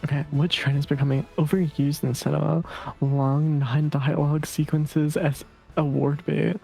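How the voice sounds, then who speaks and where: A man's voice: fairly high pitch, little pitch movement, normal speed, gravelly, dark tone, rough recording. A man co-hosting a game stream, chatting casually and reading choices aloud. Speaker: stream co-host. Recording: music behind the voice.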